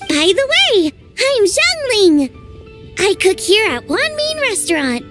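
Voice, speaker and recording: Casting: friendly young female game cook, high animated voice, perky and welcoming, music behind. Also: slow, full character voice. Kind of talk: animated video game voice acting.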